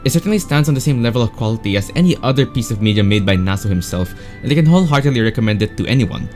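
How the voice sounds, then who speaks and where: A man's voice: mid-range voice, expressive, very fast, darkish tone. A man giving an enthusiastic, opinionated video game review as a voice-over. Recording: voice over background music. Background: music.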